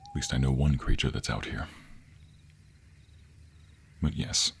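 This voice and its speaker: A man voicing a devoted, protective vampire boyfriend in an intimate romantic audio roleplay, speaking earnestly and hesitantly, often in a breathy hush. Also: a bass voice, a little slow, a little hiss or hum, heavily acted. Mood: hushed, troubled.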